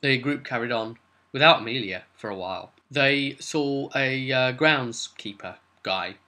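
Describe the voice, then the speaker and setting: A man hosting as game master, recapping a tabletop roleplay session in a chatty, informal way with halting asides. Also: mid-range voice, bright, forceful tone. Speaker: game master, vidcast host.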